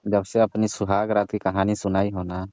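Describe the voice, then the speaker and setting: A man's voice: lowish voice, little pitch movement, normal speed, dark tone, no echo. A young man chatting casually on the phone, prodding with persistent, insinuating questions. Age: young adult. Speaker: caller in a comic phone call. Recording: dry, dull lo-fi phone-call audio.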